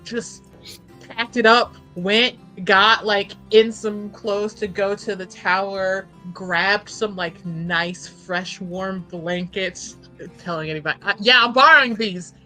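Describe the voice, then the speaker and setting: Bright-voiced woman chatting over music. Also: medium pitch, steady tone, slow, poor audio. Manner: slangy, profane, laughs often. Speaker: tabletop roleplaying game player. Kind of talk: casual animated chat about a tabletop game.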